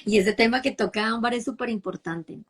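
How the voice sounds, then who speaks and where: A woman's voice: on the high side, fairly quick, a loud crowd. A woman from Bogotá with a Colombian accent, a mother speaking earnestly as a guest and often checking in with "¿sí?". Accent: Bogotá Colombian Spanish. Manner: warm, emphatic, repeats and asks "¿sí?" for agreement. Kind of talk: conversational talk.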